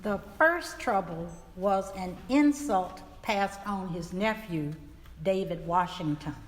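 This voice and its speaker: A woman's voice: medium pitch, slow pace, slight background noise, natural voice. A woman playing a grave 1870s widow, giving solemn, plainspoken testimony in a dramatic reading. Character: grave 1870s widow testifying about her husband's killing. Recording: reverberant hall.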